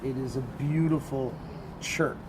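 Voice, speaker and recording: A male tour guide with a booming voice talks a group through a site, animated and conversational. He's recorded outdoors, with street and vehicle noise clearly audible around him. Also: a little slow.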